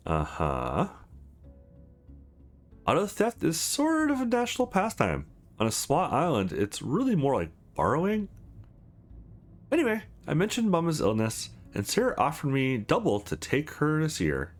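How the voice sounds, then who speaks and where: A man's voice: fairly high pitch, wildly swooping pitch, moderate pace, soft background music, pitched above the performer's own voice. A man voicing a streetwise young woman driver in a visual novel, frank, scrappy and matter-of-fact.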